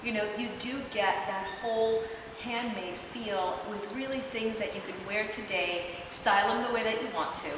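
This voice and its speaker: A woman's voice: mid-range voice, normal speed, distant mic. Gushing woman host in an echoey, noisy hall. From Ecuador. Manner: enthusiastic, gushing. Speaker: host presenting a fashion line. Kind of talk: casual, chatty product interview.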